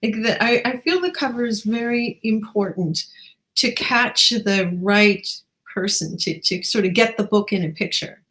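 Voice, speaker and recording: A woman's voice: medium pitch, unhurried, fairly bright tone, rough recording. Female interview host from Newfoundland, conversational delivery, mid-range voice.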